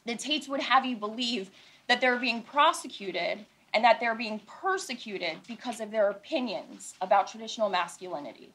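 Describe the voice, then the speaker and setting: An American woman lawyer giving a prepared statement at a news conference, speaking deliberately and emphatically and repeating her points in short phrases. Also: a little high, animated, fairly bright tone. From Philadelphia, United States.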